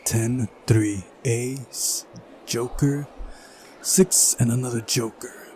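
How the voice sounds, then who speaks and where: A man's voice: medium pitch, fairly even intonation, takes it slowly, darkish tone, a little hiss or hum, rough recording. A man doing a visual novel playthrough, switching between reading narration aloud and casual commentary.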